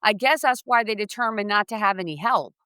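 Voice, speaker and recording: A woman's voice: mid-range voice, animated, brisk, sharp tone, smooth, studio quality. A woman interviewing in a conversational way, echoing and affirming what her guest says. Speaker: podcast interviewer.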